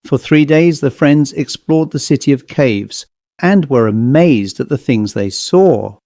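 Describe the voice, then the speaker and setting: A man narrating a scripted story as an audiobook reader, in a measured, deliberate manner. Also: mid-range voice, dark tone, dry recording, studio quality.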